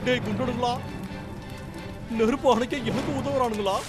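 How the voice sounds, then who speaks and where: A man voicing a hot-tempered, blustering and whiny logger, the comic villain of an animated cartoon dub. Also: very high voice, moderate pace. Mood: alarmed, indignant. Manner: blustering, whining, exclamatory. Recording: loud music over the voice.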